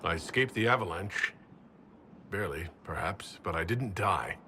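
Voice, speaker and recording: An actor playing a weary, doubting heroic leader in a game, earnest and grave. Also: male voice, low voice, animated, normal speed, bright, forceful tone, a little hiss or hum. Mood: weary, troubled. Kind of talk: dramatic game dialogue.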